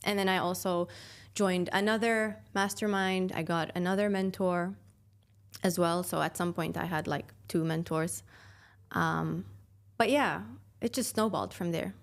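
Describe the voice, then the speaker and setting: A woman in her twenties from Sweden speaks in a fairly low voice. She talks in a chatty, reflective way as a podcast guest. She pauses on fillers and laughs softly. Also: steady tone. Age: 20s. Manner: chatty, thoughtful, many fillers and soft laughs. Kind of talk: casual interview chat.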